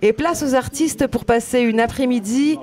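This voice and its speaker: A woman's voice: sits high, normal speed, darkish tone. A woman leading a care home show, introducing the performers in a playful, teasing way. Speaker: care home activity leader hosting the show. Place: care home common room. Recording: crowd noise behind the voice. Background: audience of residents.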